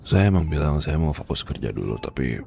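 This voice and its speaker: A man playing a weary, heartbroken boss confiding from his sickbed in an ASMR roleplay, with an Indonesian accent and a hesitant, breathy, murmuring delivery. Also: very deep voice, sing-song, dark tone, slight background noise, full character voice. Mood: wistful, resigned. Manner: hesitant, murmuring, halting, sighing.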